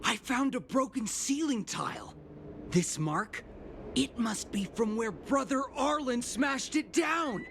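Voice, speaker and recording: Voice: mid-range voice, animated, slow pace, gravelly, background noise. An actor playing a zealous, admiring security guard and devoted underling in a video game, with a dramatic, grandiose delivery. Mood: excited. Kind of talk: video game character dialogue. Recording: music behind the voice.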